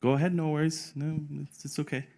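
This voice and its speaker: Relaxed man chairing a conference panel. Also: on the high side, fairly quick, a little hiss or hum. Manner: relaxed, speaks English as a second language with tag "no?". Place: conference room.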